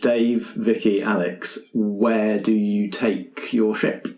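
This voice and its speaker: A man's voice: lowish voice, level tone, takes it slowly, darkish tone, a little hiss or hum. A man acting as game master, narrating a tabletop roleplaying game in descriptive scene-setting language. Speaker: game master and podcast host. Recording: roomy sound with music underneath.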